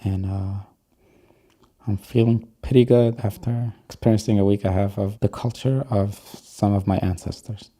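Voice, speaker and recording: A young man who grew up in upstate New York with Yiddish as his first language, reflecting thoughtfully on his life as an interview guest, pausing often. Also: lowish voice, steady tone, mellow. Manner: slow, thoughtful, with pauses and fillers. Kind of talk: reflective personal storytelling.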